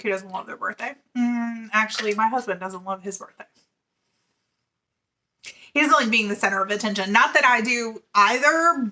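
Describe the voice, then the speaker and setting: A woman's voice: a little high, bright, forceful tone. A woman in her forties hosting a knitting video podcast, talking casually and giggling often between fillers. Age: 40s. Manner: chatty and giggly, with many fillers. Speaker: middle school teacher. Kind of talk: casual chat to camera.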